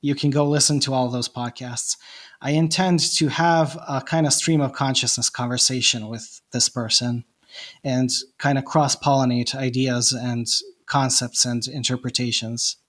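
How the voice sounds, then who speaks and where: A man's voice: medium pitch, fairly even intonation, moderate pace. A man from Bosnia with a Bosnian accent, a non-native English speaker, talking reflectively in a casual podcast chat with frequent restarts. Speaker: podcast host, guest.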